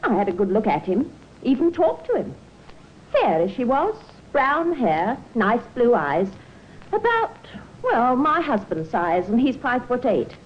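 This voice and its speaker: A woman's voice: fairly high pitch, big pitch swings, measured pace, slight background noise. An actress playing a chatty, indignant married woman, forthright and gossipy, in an old time radio drama. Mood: chatty, admiring. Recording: muffled old radio recording.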